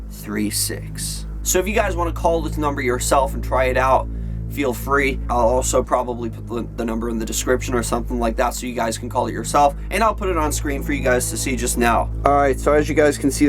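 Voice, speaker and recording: Male video host, casual and hesitant delivery, lowish-to-middle everyday pitch, clear music bed behind. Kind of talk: casual chat to viewers.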